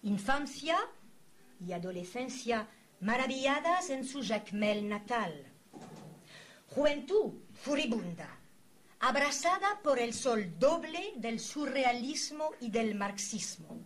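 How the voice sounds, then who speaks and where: A woman interviewer delivering a formal, literary introduction in solemn, florid, deliberate phrases. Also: fairly high pitch, sing-song. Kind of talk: formal, literary scripted introduction with brief asides. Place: university event recorded for a filmed programme. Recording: clear background noise, room ambience.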